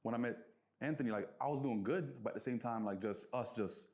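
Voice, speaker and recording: A man talking casually and reflectively as an interview guest, earnest and halting, circling back over his phrases. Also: medium pitch, darkish tone. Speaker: interview guest, business entrepreneur. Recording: dull lo-fi audio.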